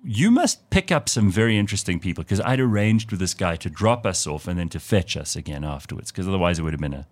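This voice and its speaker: A man with a South African accent hosting a radio talk show, telling stories in a lively, animated way and putting on the voices of the people he quotes. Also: sits low, fast talker, hardly any pauses. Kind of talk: casual talk radio chat.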